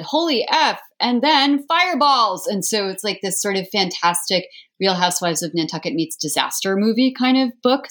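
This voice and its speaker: A woman with a slightly low voice talks as a guest author in a casual interview. She is effusive and emphatic as she recommends books. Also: fairly bright tone.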